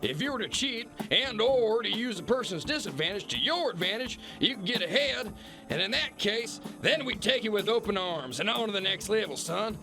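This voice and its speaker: A man's voice: high voice, lively intonation, normal speed, gravelly, sharp tone, soft background music, exaggerated voice. A man playing a bombastic, corrupt kingpin boss in an audio drama, blustering, mocking and condescending. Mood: taunting, menacing. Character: bullying, corrupt alpha-male kingpin boss, bombastic and scornful. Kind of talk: theatrical radio-play acting.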